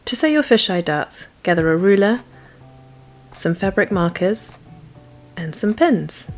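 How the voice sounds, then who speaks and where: A woman's voice: medium pitch, sing-song, unhurried. A woman giving scripted, step-by-step instructions as a sewing tutor. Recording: faint music under the voice. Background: faint music.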